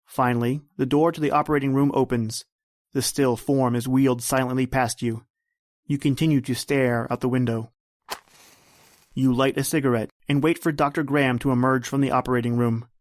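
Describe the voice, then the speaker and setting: A man's voice: mid-range voice, fairly even intonation, brisk, dry recording. A man hosting and narrating a scripted old-time radio style suspense drama, close to the mic. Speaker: podcast host and narrator.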